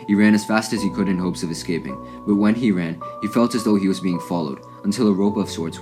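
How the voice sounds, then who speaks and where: Low-pitched man narrating over music. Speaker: video essayist narrating a fan review. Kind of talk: scripted narration, analytical recap.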